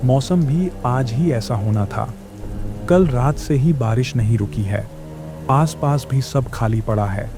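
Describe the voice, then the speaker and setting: A man's voice: medium pitch, slow, music in the background. A man voicing a scheming, envious shopkeeper in a children's cartoon, with a calculating, grumbling manner. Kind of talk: Hindi children's moral-story cartoon dub.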